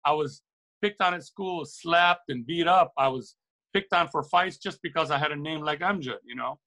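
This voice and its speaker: Bright-voiced, higher-pitched middle-aged man. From Lebanon. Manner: earnest, halting with repeated words and emphatic rephrasing. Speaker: interview guest, record shop owner. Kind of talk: reflective, opinionated interview talk.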